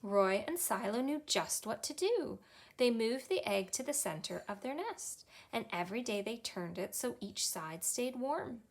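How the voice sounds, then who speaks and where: A woman in her thirties with a Canadian accent, a children's librarian reading a picture book aloud in a cheerful, expressive storytime manner. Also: on the high side, measured pace. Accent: Canadian English. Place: small indoor library room.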